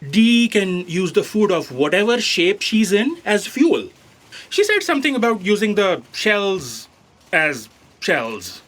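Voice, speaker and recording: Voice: medium pitch, sing-song, takes it slowly, a bit bright, full character voice. A performer playing a deferential, literal-minded aide in a sci-fi audio comedy, hesitant and earnest. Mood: dutiful, hesitant. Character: deferential, hesitant, fact-correcting space aide.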